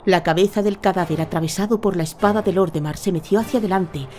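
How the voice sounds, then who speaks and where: A woman's voice: fairly low pitch, quick pace, darkish tone, slight background noise. A woman narrating a fantasy audio drama in a scripted, dramatic storytelling style.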